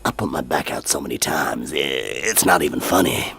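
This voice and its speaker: An actor playing a bawdy, swaggering aging satyr, a brash fast-talking hustler in a comedy audio drama. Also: male voice, a bass voice, gravelly, over music. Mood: world-weary, bragging. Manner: brash, salesman-like, self-regarding.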